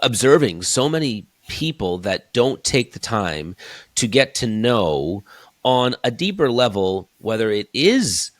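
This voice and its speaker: A man in his fifties hosting a conversational interview, telling stories in an animated way and acting out his own stage lines. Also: mid-range voice, a little slow, no room sound. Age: 50s. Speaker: host and keynote speaker.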